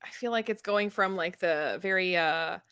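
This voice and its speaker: Low-pitched woman chatting about books. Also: normal speed, fairly bright tone, clean tone, dry recording. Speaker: co-host of a book readalong live chat. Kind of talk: casual book discussion.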